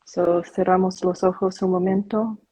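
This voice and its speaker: Slow-spoken husky woman. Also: on the low side, level tone, dark tone, low-quality recording. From Korea. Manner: slow, careful, hesitant speech. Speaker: guest. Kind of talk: conversational online interview. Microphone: video call.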